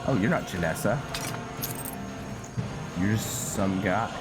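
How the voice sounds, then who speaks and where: Slow, very deep-voiced man commentating on a game. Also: loud music behind the voice. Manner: musing, halting, talks to himself. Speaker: gameplay host narrating a roleplay playthrough. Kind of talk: casual game commentary, thinking aloud.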